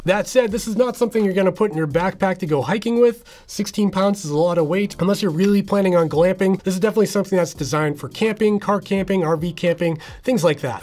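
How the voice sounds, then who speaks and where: A man's voice: high voice, darkish tone. A man in his thirties with a North American accent, giving a casual, conversational product review to camera in an indoor studio. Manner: explanatory, conversational. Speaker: product reviewer, channel host.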